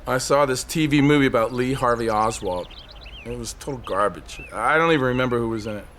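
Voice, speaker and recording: An actor playing a brooding, desperate man on a ledge in an audio drama, telling his story in an intense, halting, obsessive way. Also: mid-range voice, fairly bright tone, slight background noise, natural voice. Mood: haunted, reflective. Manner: intense, halting, obsessive monologue with repeated phrases.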